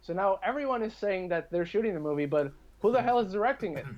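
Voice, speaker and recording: A man's voice: high-pitched, lively intonation, fast talker, darkish tone. A man speaking as a podcast guest, giving his opinions on films in casual chat. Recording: dull lo-fi call-like audio.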